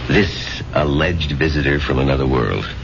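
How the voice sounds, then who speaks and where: An actor playing a grave, authoritative psychiatrist in a 1970s radio drama, probing and deliberate. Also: male voice, rumbling low, creaky. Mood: insistent, clinical. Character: grave, insistent male psychiatrist, measured and authoritative. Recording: dull, noisy 1970s radio broadcast.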